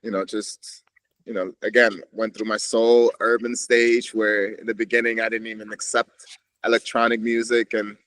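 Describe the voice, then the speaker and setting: A man's voice: fairly low pitch, level tone, moderate pace, a bit bright, poor audio. A man from Switzerland, a podcast guest reflecting in a meandering, casual way with frequent restarts. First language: Swiss German. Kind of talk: casual conversation.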